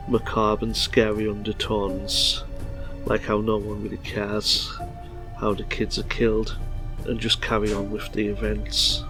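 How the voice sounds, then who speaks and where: A man hosting a film review, talking plainly and informally in halting phrases, part scripted. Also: lowish voice. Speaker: vlog host. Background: music.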